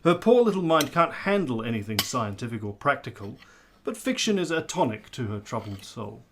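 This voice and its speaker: A man's voice: mid-range voice, very animated, moderate pace, a little hiss or hum. A man playing a blustery, condescending army colonel in a period radio drama, with a pompous, florid delivery. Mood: genial condescension.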